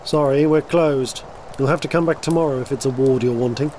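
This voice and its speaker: A man plays a dismissive recruiter in a fantasy audio drama. His mid-pitched voice is dark and mellow, the delivery curt and condescending. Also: brisk, slight background noise, natural voice. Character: dismissive, condescending male recruiter at ward house.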